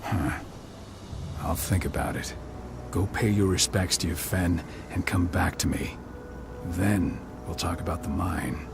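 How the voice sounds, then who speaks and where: A proud, wounded pack leader in a game cutscene. His voice is low and grave, with weary, measured authority. Music plays clearly behind him. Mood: firm, weary. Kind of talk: video game cutscene acting.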